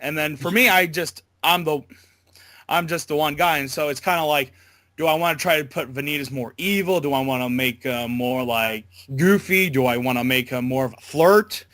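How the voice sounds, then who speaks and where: A man speaks casually as an interview guest, in a bright and fairly high voice. He is hesitant and informal, rambling as he explains himself. The sound is close and dry, with very little room. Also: moderate pace, no echo. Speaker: amateur voice actor being interviewed.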